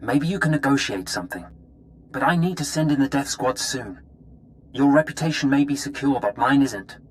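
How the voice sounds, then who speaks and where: A man playing a pragmatic intelligence officer in a video game, talking in a brisk, businesslike, urgent way. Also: medium pitch, lively intonation, slight background noise, close to an everyday voice. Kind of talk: scripted video game dialogue.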